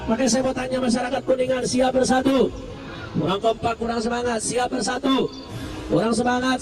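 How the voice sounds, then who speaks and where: A man preaching a fiery sermon at a rally, shouting and calling on the crowd to answer back. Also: extremely high pitch. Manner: shouting, call-and-response with crowd. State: recovering from flu. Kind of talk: fiery open-air sermon. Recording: distant mic with music behind. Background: music.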